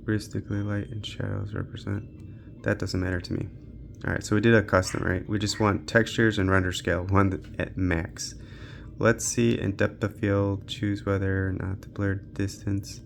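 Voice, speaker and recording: Lower-pitched, gravelly man thinking aloud. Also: little pitch movement, normal speed, slight background noise. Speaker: tech reviewer hosting a handheld gaming channel. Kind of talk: unscripted casual walkthrough commentary while testing games.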